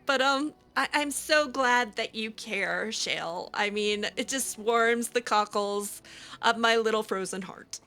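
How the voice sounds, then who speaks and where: A woman's voice: a little high, unhurried, pushed and bright. A young woman roleplaying her character aloud in a fantasy game, talking casually and hesitantly, with many fillers. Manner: hesitant, lots of fillers, laughs easily. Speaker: let's-play roleplayer.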